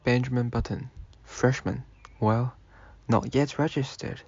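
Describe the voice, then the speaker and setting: An earnest, old-looking male freshman voiced by an actor speaks in a mid-pitched, plain and insistent way. It is radio drama, with a slightly dull, muffled recording and no room sound. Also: fairly even intonation, a little slow, darkish tone, dry recording. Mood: grateful, subdued. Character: elderly-looking male college freshman, earnest, stubborn, wronged. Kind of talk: amateur radio drama acting.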